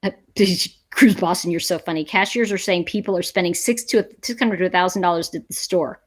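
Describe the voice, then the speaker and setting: A woman's voice: fairly low pitch, a little fast. A middle-aged American woman hosting a casual livestream chat, talking emphatically and conversationally and repeating phrases for stress. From United States. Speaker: livestream host; teacher. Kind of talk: casual livestream chat, reading and answering viewer comments.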